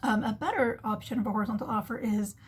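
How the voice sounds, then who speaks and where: A woman with a fairly high voice that carries a husky edge. She talks as a host giving casual business commentary, explaining ideas in a chatty, conversational way and slipping into little imagined remarks. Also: quick pace. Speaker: host of a marketing commentary show.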